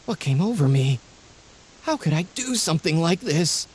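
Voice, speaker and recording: A man's voice: a little high, sing-song, normal speed, a little hiss or hum. A man voicing a remorseful male academy scholar who speaks in an anxious, apologetic way. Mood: dismayed. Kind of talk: game voice acting.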